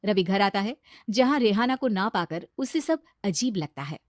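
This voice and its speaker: A woman's voice: mid-range voice, lively intonation, moderate pace, dry recording, clean studio sound. A woman narrating a scripted moral story as the storyteller.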